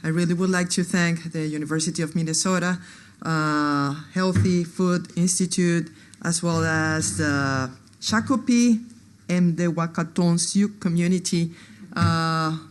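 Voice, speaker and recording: Halting woman with a Venezuelan Spanish accent. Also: on the low side, steady tone. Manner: halting, many fillers and restarts. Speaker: anthropologist presenting at a conference. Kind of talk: conference talk.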